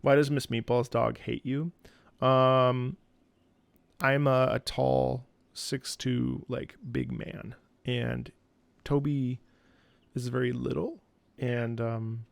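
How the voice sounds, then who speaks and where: A man giving playful, expressive casual commentary as he streams a game, talking to his chat. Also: medium pitch, takes it slowly, gravelly, no room sound. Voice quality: trained singer's voice.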